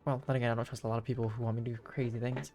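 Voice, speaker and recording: A man's voice: mid-range voice, flat delivery, racing, warm tone, faint music behind. A man giving casual gameplay commentary as a game streamer.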